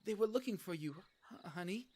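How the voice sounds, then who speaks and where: Flustered British gentleman, wildly swooping, done by a woman. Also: mid-range voice, expressive, a little fast, breathy edge. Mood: awkward, subdued. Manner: blunt, awkward, easily flustered. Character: flustered, confused gentleman fiancé with affected British accent. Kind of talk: animated comedy mystery acting.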